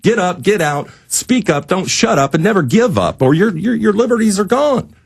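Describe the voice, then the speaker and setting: A man's voice: high voice, big pitch swings, normal speed, gravelly, breathy edge, no room sound. A man speaking as a radio studio guest in an impassioned, preachy rant, with emphatic lists and repeated phrases. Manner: preachy, emphatic, rhetorical listing and repetition.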